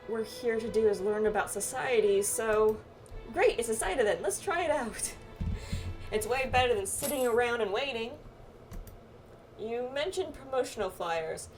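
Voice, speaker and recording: A woman with a fairly high voice reads game dialogue aloud in a casual playthrough. Her delivery is halting and conversational, mixed with her own reactions. Game music plays clearly behind her. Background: video game music.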